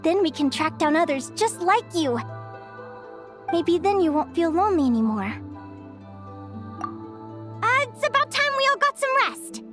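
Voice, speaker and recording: A woman voicing a curious, upbeat young bird fairy adventurer in an anime video game, expressive and eager. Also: very high-pitched, unhurried, pauses often, heavily acted. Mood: eager, tender, then bubbly. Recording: soft game music behind the voice.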